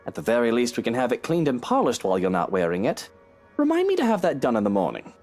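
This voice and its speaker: A man's voice: medium pitch, huge pitch swings, quick pace, darkish tone, faint music behind. A man voicing a haughty, irritable rich master of the house in a pompous, exclamatory way for a story game. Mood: aloof, polite.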